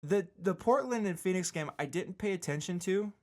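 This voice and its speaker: Casual male sports podcast host, slightly high voice, loose rambling delivery, repeats himself to hammer points home. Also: expressive, normal speed, darkish tone. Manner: rambling, repeats phrases for emphasis. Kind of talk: casual solo sports commentary.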